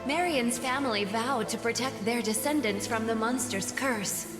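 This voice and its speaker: A woman's voice: fairly high pitch, normal speed, natural voice. A woman voicing a solemn magical spirit who narrates a tale in a fantasy game, with echoing reverb on her voice. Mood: solemn storytelling. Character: solemn magical female storytelling spirit of an enchanted amulet. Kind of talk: scripted storybook narration. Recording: long echoing reverb over music.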